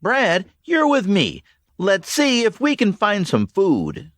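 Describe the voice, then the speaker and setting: A man voicing a tired, determined woman who leads a group of children through a ruined city, speaking in steady, encouraging commands. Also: high voice, slow, no echo, voice pushed up, exaggerated voice.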